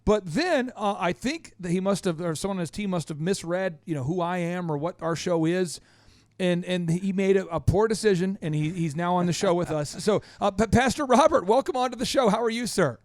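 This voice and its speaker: A man's voice: high voice, a little fast, no room sound. A man hosting a business talk show, joking and self-deprecating as he interviews a guest, in a dry studio recording. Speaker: podcast host. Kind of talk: energetic show intro and interview.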